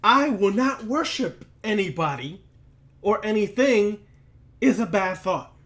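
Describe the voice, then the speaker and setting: Man, somewhat high pitch, occult lecturer, preaching style, deliberate and emphatic, repetitive rhetorical phrasing. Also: expressive, fairly bright tone.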